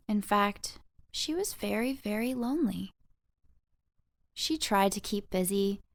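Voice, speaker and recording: A woman narrating a scripted children's audiobook with expressive bedtime storytelling. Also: on the high side, dry recording.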